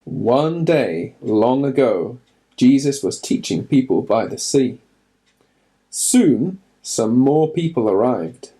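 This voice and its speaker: A man's voice: mid-range voice, animated, slow pace, dark tone, low-quality recording. A man reading a children's Bible storybook aloud for a church, telling it expressively with dramatic emphasis.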